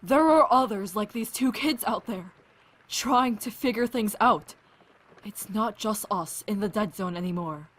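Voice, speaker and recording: A woman acting a weary, compassionate and wry scientist in an audio drama, speaking in a halting, thoughtful way. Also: medium pitch, fairly bright tone. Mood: troubled.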